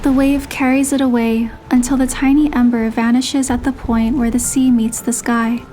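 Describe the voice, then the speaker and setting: Female narrator, higher register, scripted romantic drama storytelling, music underneath. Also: flat delivery, moderate pace, warm tone. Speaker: narrator and voiced player character of a game story. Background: music behind the voice.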